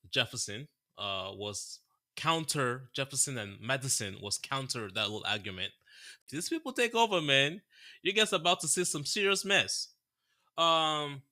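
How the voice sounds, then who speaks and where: Bright, forceful man co-hosting. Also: on the high side. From Nigeria. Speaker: co-host of a crypto show. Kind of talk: casual discussion with read-out quotes.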